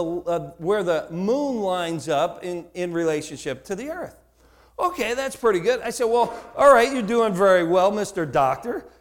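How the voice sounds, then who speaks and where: Animated high-voiced preacher with a New Jersey accent. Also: male voice. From United States. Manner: animated, acts out dialogue, repeats words. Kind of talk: sermon with storytelling.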